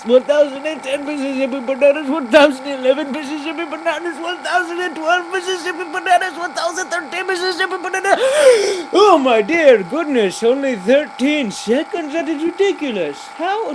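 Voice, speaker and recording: A man putting on a high-voiced rambling joke-teller. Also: background noise, close to an everyday voice. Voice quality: broken grammar, many fillers and restarts, giggly. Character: rambling, cheerful adult man telling pointless jokes. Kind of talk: comic character monologue.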